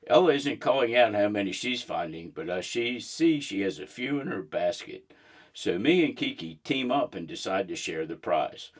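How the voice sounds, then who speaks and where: A man reading a children's book aloud in an expressive storybook narration style. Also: lowish voice, normal speed.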